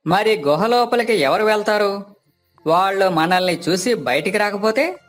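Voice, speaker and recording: A man's voice: sits high, lively intonation, normal speed, soft background music. A man voicing a plaintive, wary, superstitious villager in a moral story. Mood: fearful.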